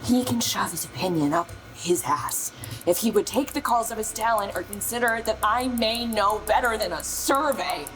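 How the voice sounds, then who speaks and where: A young woman playing a defiant, profane pop star in her late twenties in a radio play, insistent and sharp-tongued. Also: medium pitch, normal speed, lightly acted, low-quality recording. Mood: tense, pleading. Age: young adult. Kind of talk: naturalistic radio play acting. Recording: music behind.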